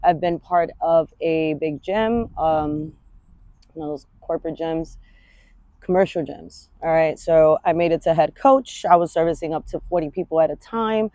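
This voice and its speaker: A woman's voice: low-pitched, moderate pace, warm tone, no room sound. A woman, a fitness coach talking candidly in a rambling, casual vlog and swearing freely. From Washington Heights, New York. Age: 30s.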